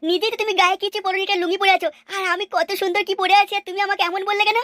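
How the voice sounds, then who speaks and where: A woman's voice: very high voice, level tone, rapid-fire, a bit bright, no echo, studio quality. A woman voicing a puzzled, plain-spoken village youngster in a comic cartoon, full of bewildered questions.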